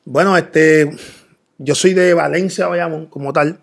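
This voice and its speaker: Gravelly-voiced middle-aged man. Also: on the high side, measured pace. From Dominican Republic, raised in Puerto Rico. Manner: calm, hesitant, many fillers. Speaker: interview guest. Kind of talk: casual interview. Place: pink studio set. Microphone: boom studio mic.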